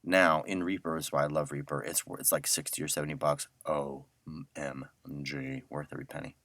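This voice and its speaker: Very deep-voiced man teaching casually. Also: flat delivery, a little fast, creaky, fairly bright tone, dry recording. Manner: informal, thinking aloud. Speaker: audio software instructor. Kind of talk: casual screen-share tutorial.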